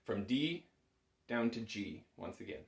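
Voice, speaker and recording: Guy with a lowish voice explaining music stuff step by step, super casual, bit echoey. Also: expressive, a little slow, creaky, very noisy. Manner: reassuring, informal, explains step by step. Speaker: bagpipe instructor. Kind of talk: casual instructional talk. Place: small indoor room at home. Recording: roomy, echoing small room.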